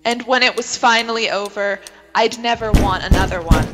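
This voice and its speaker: A woman's voice: mid-range voice, normal speed, a bit bright, faint music behind, close to an everyday voice. A woman playing a brash, sardonic podcaster heroine in an audio drama, hard-boiled and bossy. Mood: jaded.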